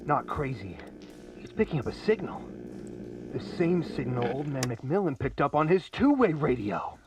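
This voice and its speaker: An actor playing a bold, swaggering hero with hearty, melodramatic bravado in a radio adventure serial. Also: male voice, fairly high pitch, big pitch swings, normal speed, distant mic, noisy background.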